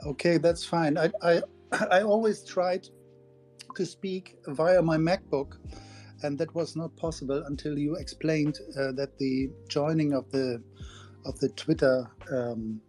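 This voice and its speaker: Hesitant man with a mellow voice. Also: a little high, steady tone, a little slow, soft background music. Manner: hesitant, many fillers. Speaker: gallery owner. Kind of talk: casual chat. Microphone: phone.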